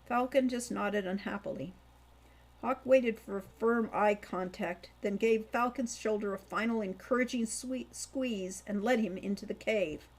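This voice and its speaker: A woman's voice: mid-range voice, measured pace, rough recording. A woman reading scripted fiction aloud as an audiobook narrator. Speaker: audiobook reader, author.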